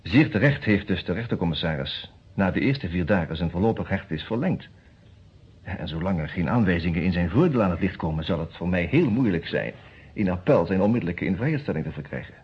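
A man acting a formal, cautious lawyer in a radio detective play, speaking in measured, businesslike phrases with hesitant pauses. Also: low-pitched, expressive, husky, full character voice. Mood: firm, formal. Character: formal, cautious male defence lawyer who also narrates. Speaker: actor in a radio detective serial. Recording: dull, muffled old radio recording.

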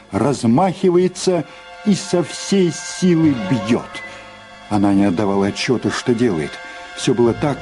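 A man serves as the serious narrator of a crime radio play. He reads dramatic scripted prose at a medium pitch, with music clearly playing behind him. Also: close to an everyday voice.

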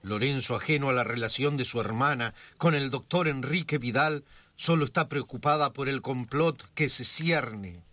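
A man giving calm, scripted narration in a radio drama. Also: medium pitch, moderate pace, no echo.

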